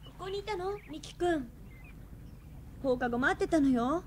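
A woman's voice: high voice, expressive, measured pace. An actress playing a wistful, girlish young woman in a radio drama. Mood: hurt. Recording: clear background ambience noise.

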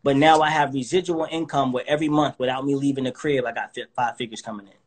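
A man in his thirties, calling in to a live video chat from his living room. He talks with confidence and a preacher's drive, at a medium pitch. The sound is plain phone or webcam audio. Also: steady tone, quick pace. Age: 30s. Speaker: guest artist. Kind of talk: casual interview over live video. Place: indoors, living room with couch.

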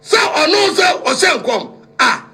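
A man's voice: very high voice, very animated, slow pace, pushed and bright, soft background music. A Ghanaian-accented man giving heated, emphatic political commentary on radio, mixing Twi and English in a combative way. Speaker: radio show panelist. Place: radio studio. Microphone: studio broadcast microphone.

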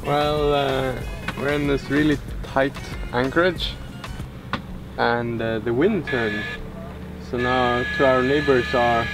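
A young man narrating his sailing trip and walking a forest trail with a camera at arm's length, relaxed and easygoing. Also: mid-range voice, low-quality recording. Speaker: sailing vlogger. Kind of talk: casual travel narration. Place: outdoors on a tropical island, forest trail. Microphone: handheld action camera at arm's length.

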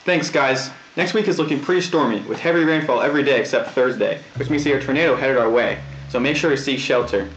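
A man's voice: mid-range voice, fast talker, few pauses. A young man presenting a scripted weather report in a casual, easygoing way. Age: young adult. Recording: roomy lo-fi old tape.

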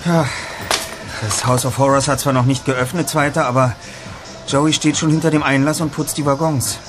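A man's voice: medium pitch, steady tone, moderate pace, music in the background, close to an everyday voice. An actor playing a self-assured, rational teenage boy detective in a German radio play, speaking in a pompous, formal, precise way. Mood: commanding.

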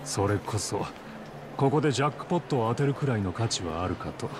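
A man plays a courteous crime boss from a video game, composed and quietly menacing. His formal, condescending speech moves through lively pitch swings, with faint ambience behind it. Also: background noise, lightly acted. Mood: polite, firm. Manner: formal, polite, measured, condescending. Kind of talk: Japanese video game dub acting.

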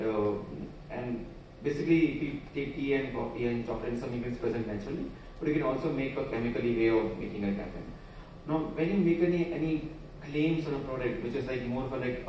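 A man's voice: medium pitch, darkish tone, a little hiss or hum. A man with an Indian English accent giving a conference presentation at a podium, talking loosely with frequent restarts and fillers. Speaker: conference speaker. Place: large conference hall. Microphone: podium microphone, recorded from far camera. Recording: echoey hall from far off.

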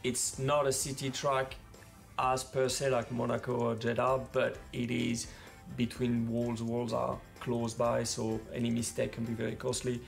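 A man answering interview questions in a measured way, with some restarts. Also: medium pitch, gravelly.

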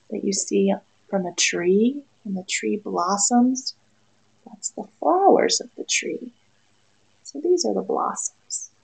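A woman's voice: on the high side, vocal fry, darkish tone, poor audio. A woman in her thirties, a preschool teacher, reading a picture book aloud expressively and in a sing-song way to young children. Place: small room indoors.

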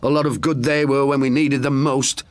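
Storybook role: man doing resentful brother, mid pitch, scoffing and growling. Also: little pitch movement, normal speed, dry recording, close to an everyday voice. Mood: bitter. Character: bitter, obsessed grown man.

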